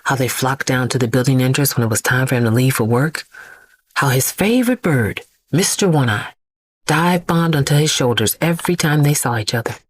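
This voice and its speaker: A middle-aged immortal vigilante mother in an audio drama. Her voice is low and husky, recorded dry and close. She tells her story bluntly and plainly, guarded and full of sorrow. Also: expressive, a little fast, no room sound, heavily acted. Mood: regretful.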